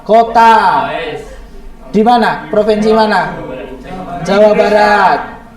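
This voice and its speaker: A man teaching a class, hoarse and slightly raspy from a cold, quizzing his students in a lively way. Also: high-pitched, slow pace, a crowd in the background. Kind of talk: classroom lesson. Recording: echoey classroom from far off, students behind.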